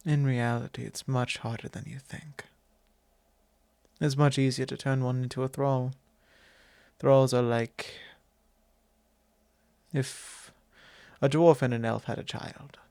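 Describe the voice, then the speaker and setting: A man playing a courtly, fond vampire lord in an intimate ASMR roleplay, speaking formally and unhurriedly with pauses. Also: mid-range voice, gravelly, a little mellow, no room sound. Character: courtly possessive male vampire lord, long-lived, fond and wistful. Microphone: close microphone, dry.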